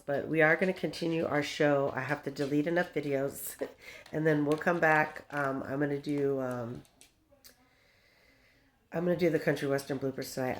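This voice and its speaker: A woman's voice: low voice, slight background noise. A woman in her fifties rambling casually to her viewers, laughing often and drifting from story to story. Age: 50s. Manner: chatty, laughs often, drifts between stories, baby-talks to her dog. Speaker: vlogger. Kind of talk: rambling casual chat to camera.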